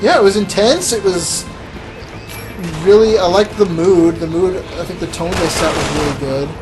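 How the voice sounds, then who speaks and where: A man's voice: high voice, moderate pace, poor audio. A man co-hosting a gaming podcast, casually musing and critiquing as he plays. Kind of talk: casual chat over co-op gameplay. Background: game music and action sounds.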